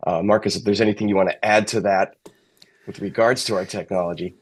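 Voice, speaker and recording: Low-pitched middle-aged man, conversational. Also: steady tone, fast talker, breathy edge. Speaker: senior technical sales manager. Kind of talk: conversational interview answers.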